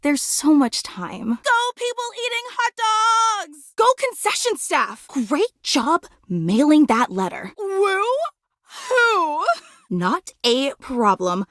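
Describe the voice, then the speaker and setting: A woman voicing a bubbly, devoted superfan in a video game, with an excitable, dramatic, slangy way of gushing. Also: sits high, slow pace, dry recording, clean studio sound, full character voice. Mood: cheering, supportive. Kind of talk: video game character voice acting.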